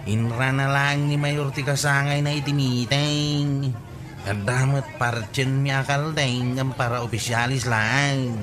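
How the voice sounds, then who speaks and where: An actor playing a reluctant, grumbling villager in a comedy radio drama, with an Ilocano accent. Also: male voice, mid-range voice, steady tone, measured pace, natural voice. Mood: matter-of-fact.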